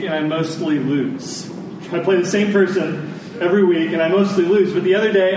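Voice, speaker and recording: A very reverberant room carries this American man's voice, picked up at some distance with faint noise behind it. He is a pastor giving a sermon in a conversational manner, breaking his thoughts into short, deliberate phrases. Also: a little high. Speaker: pastor preaching. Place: large church meeting room with congregation. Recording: echoey hall, distant mic, faint noise.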